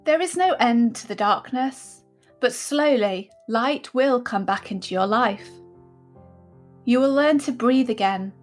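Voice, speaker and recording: A woman reading a personal letter aloud in a measured, solemn manner. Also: fairly high pitch, lively intonation, soft background music. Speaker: writer and podcast host.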